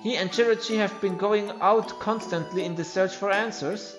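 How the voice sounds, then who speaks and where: Man, high voice, non-native English speaker, voicing game story dialogue, music behind. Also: steady tone, normal speed. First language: not English. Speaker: gamer voicing a story playthrough. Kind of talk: reading video game dialogue in character. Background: video game music.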